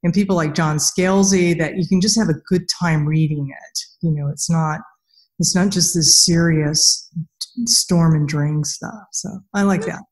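A woman's voice: sits low, steady tone, unhurried, poor audio. A middle-aged woman hosting a podcast, asking questions in a casual, conversational way. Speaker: podcast host, interviewer. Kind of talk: casual interview chat. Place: indoor room with plain wall.

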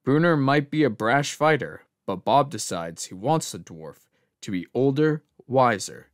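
A man's voice: medium pitch, expressive, unhurried, dry recording, clean studio sound. A male voice actor reading scripted instructional narration.

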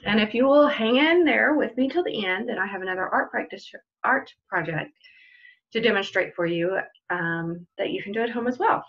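A woman's voice: mid-range voice, animated, moderate pace. Dull-sounding middle-aged woman, story time host. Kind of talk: children's story time read-aloud and craft instructions. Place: indoors in a room at home. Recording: dull, lo-fi home webcam audio.

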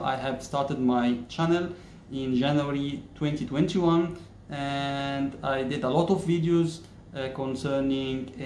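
A man in his thirties from Egypt, speaking English, explains a topic to camera in a measured way, pausing often on "uh". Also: medium pitch, dark tone, roomy sound, slight background noise. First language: Arabic. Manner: measured, many "uh" pauses, gestures with hands. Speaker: YouTuber. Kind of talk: casual explainer talking to camera. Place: plain-walled indoor room.

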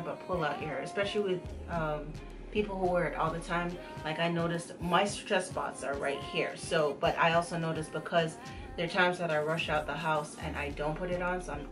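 A young woman from Uganda giving a casual tutorial as a guest, explaining in a halting, conversational way. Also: on the low side. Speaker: guest head wrap vendor giving tutorial. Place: small indoor room, sequin backdrop. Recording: music playing behind the voice.

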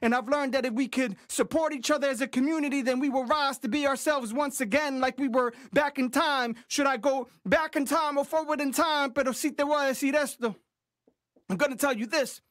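A man's voice: very high voice, a little fast, fairly bright tone, no room sound, studio quality. Man freestyling with rhythmic chanting. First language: Spanish. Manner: rhythmic, chanting, percussive vocal beats. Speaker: rapper. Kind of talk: freestyle rap performance mixing English and Spanish. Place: small recording booth. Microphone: studio condenser mic with headphones.

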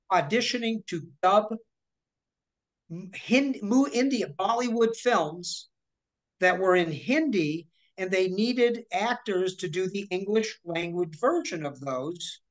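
A woman in her forties, speaking over a video call from her living room. She tells her stories in a lively, chatty way, breaking off and restarting mid-sentence. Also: mid-range voice, slow, pauses often. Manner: chatty, animated, with many restarts and hand gestures. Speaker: guest voice actor. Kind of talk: casual interview storytelling.

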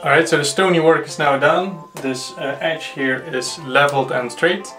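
A man's voice: fairly high pitch, fairly even intonation, moderate pace, loud music behind the voice, poor audio. A young man hosting a renovation vlog, explaining the work in a plain, matter-of-fact way. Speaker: host of a renovation project vlog. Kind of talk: explanatory vlog talk and narration.

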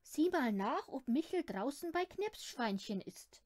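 Woman reading as a high-voiced instructive farm mother. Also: very animated, measured pace, a little mellow, dry recording, clean studio sound, exaggerated voice. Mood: questioning. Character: farm mother giving her household instructions.

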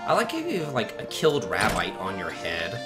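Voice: deep voice, huge pitch swings, a little fast. A chatty, exclamatory let's play host giving casual commentary on a video game. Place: indoors, voice over game audio. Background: loud game music.

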